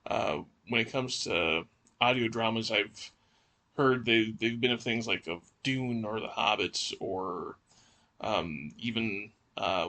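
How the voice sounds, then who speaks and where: Gravelly, bright-voiced man, hesitant. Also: on the low side. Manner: hesitant, searching for words. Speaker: podcast co-host. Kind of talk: casual discussion.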